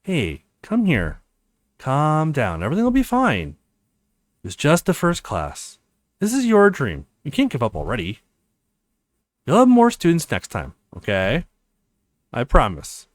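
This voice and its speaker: An earnest young college man read by a male let's player, reassuring and confident. His pitch moves up and down a great deal, in a dry, close recording of visual novel dialogue. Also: huge pitch swings, unhurried, long pauses, dry recording.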